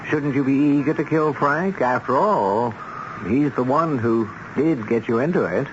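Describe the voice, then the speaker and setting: On a dull, noisy old radio recording, a man plays a cold boss of hired killers. He speaks calmly and persuasively, with measured menace. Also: mid-range voice, close to an everyday voice. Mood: coldly persuasive. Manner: calm, measured, coaxing, menacing. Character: cold, smooth-talking male boss of contract killers. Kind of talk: radio drama acting.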